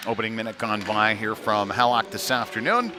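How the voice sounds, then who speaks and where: A man giving live play-by-play commentary on youth ice hockey, conversational and halting, with frequent filled pauses. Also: lowish voice. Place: chilly indoor ice rink. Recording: noisy rink ambience, clattering background.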